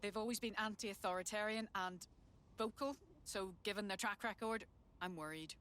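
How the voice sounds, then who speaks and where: An actress playing an earnest resistance sympathiser in a video game, sincere and conversational. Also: female voice, medium pitch, moderate pace, fairly bright tone, lightly acted. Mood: worried. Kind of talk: video game cutscene acting.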